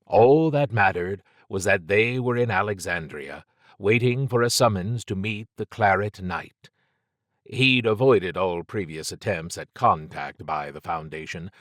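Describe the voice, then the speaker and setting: A man's voice: sits low, dry recording, clean studio sound. A man narrating scripted fiction as an audiobook reader.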